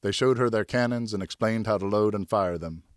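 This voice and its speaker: A man's voice: on the low side, a little fast, no echo, studio quality. A man reading a novel aloud as an audiobook narrator, in scripted first person.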